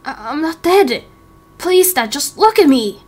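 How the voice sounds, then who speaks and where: A woman's voice: high-pitched, big pitch swings, unhurried, darkish tone. A woman voicing a timid, anxious young man in a game roleplay, speaking hesitantly with stammers. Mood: pleading. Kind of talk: amateur game roleplay acting.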